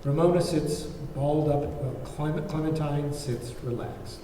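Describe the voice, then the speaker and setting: A man's voice: fairly high pitch, unhurried, dark tone. A man narrating, reading out the stage directions of a script at a table read. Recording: very echoey room, faint background noise.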